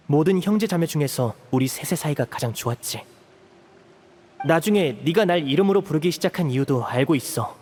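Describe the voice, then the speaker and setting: An actor playing a protective, earnest young man in a video game, speaking in a measured, resolute way. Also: on the high side, a little mellow, big-room sound. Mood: pained, serious. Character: protective, earnest young elder brother figure, raised an orphan.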